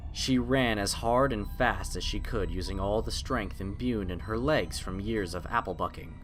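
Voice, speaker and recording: A man's voice: on the low side, a bit bright. A man narrating a scripted horror story in a dramatic, suspenseful way. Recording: music clearly behind the voice.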